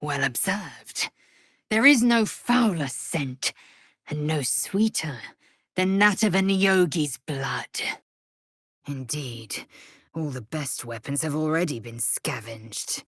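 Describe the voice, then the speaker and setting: An actress playing a proud, fierce, zealous female githyanki warrior in a video game, imperious, clipped and formal, with a husky, breathy rasp. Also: low voice, expressive, slow, no echo, clean studio sound, heavily acted. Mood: scornful, curt. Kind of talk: video game character acting.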